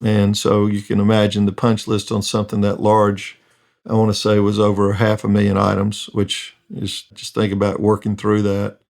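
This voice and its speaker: Gravelly, flat-voiced man reminiscing. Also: lowish voice, moderate pace. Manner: earnest, reflective storytelling. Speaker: project manager. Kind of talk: reflective interview.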